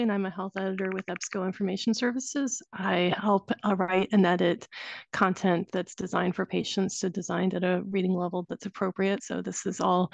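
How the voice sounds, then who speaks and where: A woman's voice: medium pitch, creaky, dry recording. A woman, a health editor, introducing herself in a friendly way on a video call. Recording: dry, muffled video call audio.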